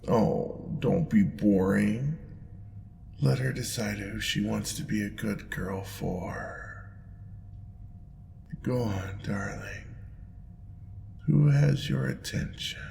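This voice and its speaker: A man playing a smug, indulgent demon lord in an audio roleplay, with a deep gravelly voice and a playful, purring, drawn-out teasing manner. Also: darkish tone, full character voice. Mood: teasing, seductive. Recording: long reverb, clear background noise.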